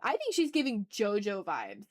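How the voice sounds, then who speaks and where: A woman chatting casually as she hosts an art livestream, talking to her viewers in an animated, slangy, teasing way with some swearing. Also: medium pitch, moderate pace. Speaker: livestream host and artist. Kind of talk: casual art livestream chat, reading and answering chat messages.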